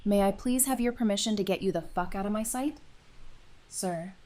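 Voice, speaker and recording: A woman's voice: medium pitch, moderate pace, warm tone, natural voice. A fiery female survivor, played by a woman in an audio drama. She is defiant and angry, with a biting, sarcastic way of talking that confronts whoever she faces. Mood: seething, sarcastic. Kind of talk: full-cast fan audio drama acting.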